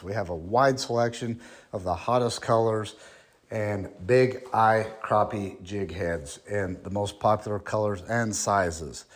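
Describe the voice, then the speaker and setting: Lower-voiced man in an upbeat ad. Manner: plain, upbeat sales pitch. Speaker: sponsor ad speaker. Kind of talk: ad read.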